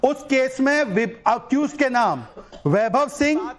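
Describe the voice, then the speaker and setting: A man's voice: very high voice, lively intonation, slow, crowd noise. A combative man on a TV debate panel, reeling off the accused's names and attacking his opponent's double standards. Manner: combative, lists names, threatens to keep interrupting. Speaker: party spokesperson, debate panelist. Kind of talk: heated TV debate argument.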